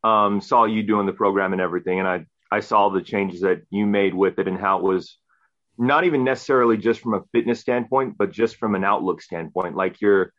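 A man's voice: on the low side, a little fast, fairly bright tone, highs cut off. A thirty-year-old man, a guest on a round-table podcast, talking earnestly and rambling a little. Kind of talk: casual round-table talk.

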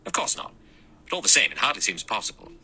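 A man's voice: lowish voice, huge pitch swings, sharp tone, slight background noise, voice pushed up, full character voice. A man doing a brash, impatient younger man. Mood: irritable. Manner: quick, offhand, impatient. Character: brash adventurous younger man, scornful and irritable.

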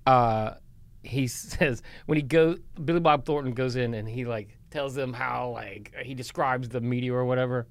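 A man's voice: mid-range voice, normal speed, no room sound. A man from Alabama co-hosting a casual podcast, joking around, quoting movie lines and laughing easily. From Huntsville, Alabama, US. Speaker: podcast co-host. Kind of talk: casual banter.

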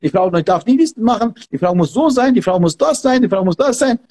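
A man's voice: high-pitched, lively intonation, a little fast, darkish tone. A man who talks in an emphatic, fervent way and repeats himself, giving advice as a martial arts instructor in a podcast conversation. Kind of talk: passionate podcast talk.

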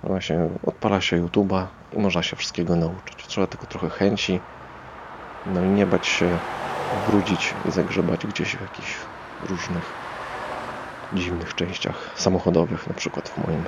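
A man's voice: sits low, flat delivery, normal speed, highs cut off, very noisy. A man hosting a casual solo podcast, rambling hesitantly as he thinks aloud. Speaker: podcast host.

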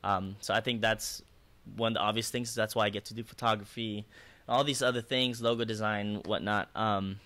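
A man's voice: fairly low pitch, steady tone, moderate pace, sharp tone. A man who grew up in the Philippines and speaks Bisaya as his first language, a worship leader talking earnestly and reflectively in a casual conversation.

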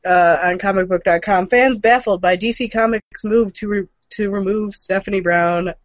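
Female co-host, medium pitch, loose and informal, dry recording, for casual geek news chat. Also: normal speed, dry recording. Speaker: show co-host. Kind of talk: casual news chat on a gaming and comics stream.

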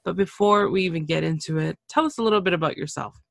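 Chatty woman host from Canada, gravelly texture, dry close-miked sound. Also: on the low side, quick pace, dry recording. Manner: chatty, exclaims a lot. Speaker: podcast host. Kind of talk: casual interview chat.

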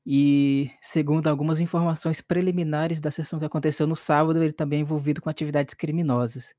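A man's voice: on the high side, steady tone, fast talker, mellow, dry recording, clean studio sound. A man with a Brazilian accent chatting casually as a player and co-host on a role-playing podcast.

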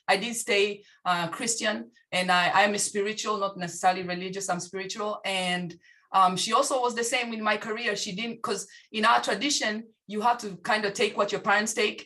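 A woman from Tanzania, a guest on a video call, telling her life story in an animated way and laughing often. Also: medium pitch. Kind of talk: casual conversation.

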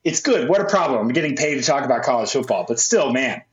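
A man's voice: medium pitch, animated, quick pace. Nasal man in a roomy lo-fi recording. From Memphis, USA. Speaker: co-host. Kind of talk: casual sports podcast chat.

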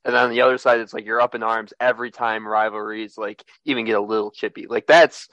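A young man hosting a sports podcast, talking in a relaxed way with lots of "uh" and "like". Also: fairly low pitch, fast, no echo, highs cut off. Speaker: podcast host.

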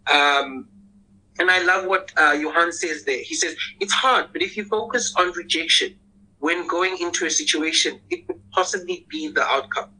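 A man with a squeaky voice, hosting a casual podcast panel in an earnest, reflective way and often repeating words as he starts. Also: normal speed, sharp tone, low-quality recording.

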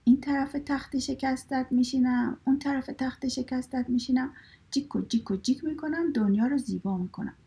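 A woman voicing a cheeky, noisy little sparrow that sings its rhymes in a sing-song chant, in a breathy, husky voice. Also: high-pitched, dark tone, voice pushed up. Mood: playful sing-song. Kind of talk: storybook reading.

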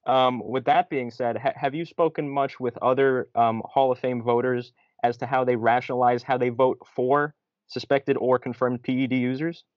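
A man's voice: mid-range voice, fairly even intonation, normal speed, no echo, dull audio. A man co-hosting a podcast, agreeable and hesitant with fillers while asking questions. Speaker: podcast host. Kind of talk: podcast interview.

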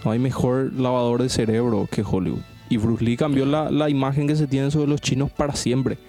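A man tells a rambling, slangy story on a podcast among friends. His voice is mellow and soft-edged, and he drives the point home by repeating himself. Faint music runs underneath. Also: medium pitch, flat delivery, moderate pace. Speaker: podcast host. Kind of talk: casual chat among friends.

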